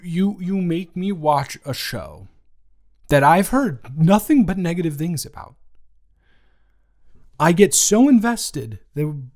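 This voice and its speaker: A man playing a smitten, playfully dramatic boyfriend in an improvised romantic audio roleplay, teasing and repeating his words haltingly. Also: a little high, pitched above the performer's own voice.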